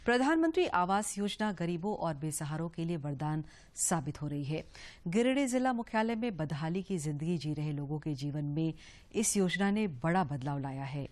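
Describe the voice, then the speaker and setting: Low-voiced female news anchor. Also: normal speed. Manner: steady formal delivery. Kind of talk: scripted news reading.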